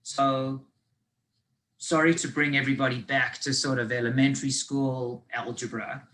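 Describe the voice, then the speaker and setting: A man's voice: mid-range voice, little pitch movement, normal speed, a bit bright, low-quality recording. South African man presenting on a video call. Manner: conversational and explanatory, with frequent ums and restarts. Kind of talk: live online business finance presentation.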